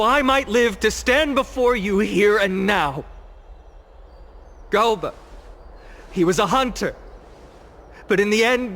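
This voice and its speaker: A man's voice: high voice, fairly bright tone, exaggerated voice. An actor playing an impassioned young male orator haunted by loss, speaking theatrically and earnestly in a fantasy video game. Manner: theatrical, earnest, emphatic rhetorical questions.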